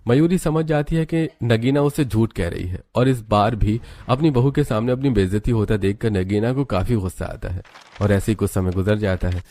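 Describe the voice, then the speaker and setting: A man's voice: on the low side, moderate pace, mellow. A man narrating a scripted moral story as its storyteller.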